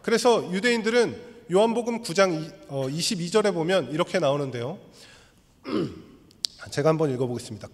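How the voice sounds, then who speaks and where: A hoarse man preaches from the pulpit, walking his listeners through a passage in a steady, explanatory way. His voice sits a little high for a man. The sound rings out with the long reverb of a church sanctuary. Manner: measured, explanatory, leads congregation in reading. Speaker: pastor. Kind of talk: sermon.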